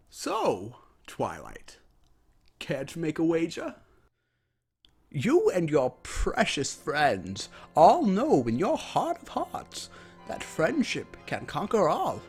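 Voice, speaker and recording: Manic chaos spirit, cartoon villain, mocking, theatrical, raised swooping pitch, slow taunting drawl. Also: male voice, full character voice. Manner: theatrical, taunting, drawn-out words.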